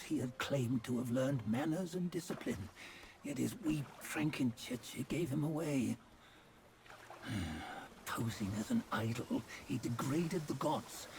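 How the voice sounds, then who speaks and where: Gravelly, measured judgmental sage. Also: male voice, medium pitch, expressive, darkish tone, a little hiss or hum, low-quality recording. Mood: disdainful. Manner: measured, archaic, sententious phrasing. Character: stern, judgmental sage narrating old sins. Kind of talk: theatrical video game cutscene dialogue.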